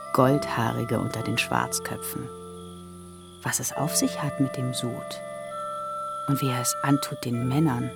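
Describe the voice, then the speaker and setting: Rahel: yearning, coaxing younger sister, very low voice, music under. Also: female voice, gravelly, full character voice. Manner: pleading, coaxing, fervent. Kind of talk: radio drama acting.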